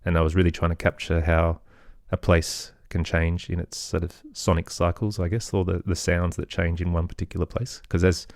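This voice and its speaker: Low-pitched, even-toned man in conversation. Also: normal speed, hardly any pauses, no echo. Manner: reflective, loosely phrased, hedging with "sort of" and "kind of". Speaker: podcast guest, musician and storyteller. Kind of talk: conversational interview answer.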